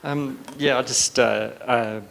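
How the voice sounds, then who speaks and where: A man of middle pitch speaks up from the floor of a workshop with a faint hiss behind him. He hesitates a lot, restarts his sentences and reaches for the right words while he shares his thoughts. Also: fairly even intonation, measured pace, fairly bright tone. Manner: hesitant, searching for words, many fillers and restarts. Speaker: workshop participant. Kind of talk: spontaneous personal reflection. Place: conference hall.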